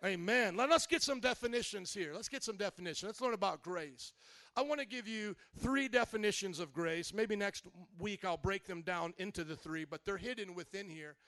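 High-voiced preacher man, call and response. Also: a bit bright, dry recording. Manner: slows and stretches words for emphasis. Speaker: pastor. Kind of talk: sermon.

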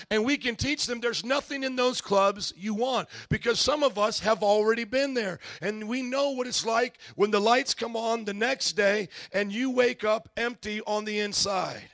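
Gravelly high-voiced preacher. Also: male voice, fairly bright tone, dry recording. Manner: preaching, rising into calls of amen. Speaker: pastor. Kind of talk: sermon. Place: church.

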